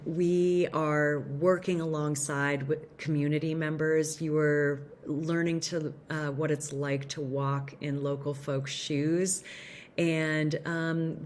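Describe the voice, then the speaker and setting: Woman with a really low voice presenting on a video call, upbeat, lots of ums. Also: a little hiss or hum. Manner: upbeat, with frequent "um" pauses. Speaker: program presenter. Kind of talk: virtual fair presentation.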